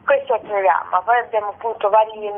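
A woman giving a spontaneous, enthusiastic radio interview as a festival co-director, wordy and halting, with repeated words. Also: medium pitch, steady tone. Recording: tinny, narrow telephone line on radio.

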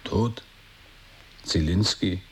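A man's voice: sits low, drawn-out words, husky, exaggerated voice. An actor playing an incredulous man in an avant-garde radio play, repeating names and words back as short questions. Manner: echoing questions in short bursts.

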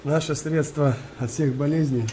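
An actor playing an anguished, haunted young wartime soldier in a radio play, speaking in halting, repetitive phrases. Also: male voice, fairly high pitch, fairly even intonation, natural voice. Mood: quiet, shaken. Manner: halting, repetitive, emotional delivery. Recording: noisy wartime ambience behind voice.

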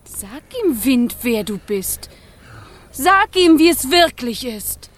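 Radio play role: wild young girl fire spirit, high, childlike, slow expressive delivery, urgent and devoted. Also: heavily acted. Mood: indignant.

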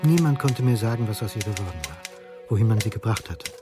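A man's voice: on the low side, expressive, quick pace, close to an everyday voice. An actor playing a sorrowful man narrating his memories reflectively in a radio drama. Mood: sombre recollection. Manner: sombre, reflective. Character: sorrowful Icelandic man recalling his lost lover. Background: music.

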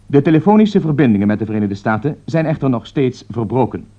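An actor playing a grave radio newsreader giving an emergency bulletin in formal, measured Netherlands Dutch. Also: male voice, medium pitch, very animated, dark tone. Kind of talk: 1960s radio drama news bulletin. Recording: dull vintage 1960s radio recording.

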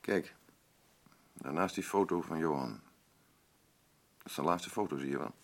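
An actor playing a blunt, rambling working-class husband in a radio drama, boastful, self-pitying and defensive. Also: male voice, rumbling low, unhurried, gravelly, full character voice. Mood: reminiscing. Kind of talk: naturalistic radio drama dialogue.

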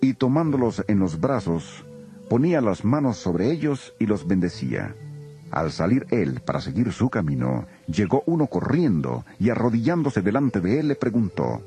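A man narrating a dramatized scripture reading as an expressive storyteller. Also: lowish voice, normal speed, soft background music.

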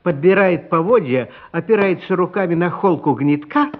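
A man's voice: high-pitched, lively intonation, normal speed, narrow, tinny audio. A man narrating a story for a radio play in a dramatic, scripted style.

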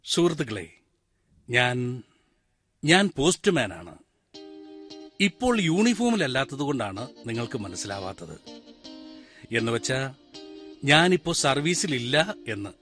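Middle-aged village postman, animated delivery, reflective radio monologue. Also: medium pitch, unhurried, long pauses, faint music behind. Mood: reminiscing. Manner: talks straight to the listeners, musing and lamenting. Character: retired village postman, wistful, chatty, brooding. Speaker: actor in a one-man radio play. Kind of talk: 1990s-style radio monologue acting.

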